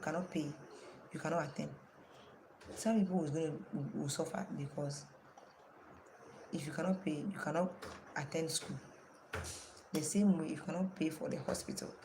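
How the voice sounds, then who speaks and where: Woman teacher, deep voice, strained, lecturing a class online, noisy background. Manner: asks the class questions and repeats key terms.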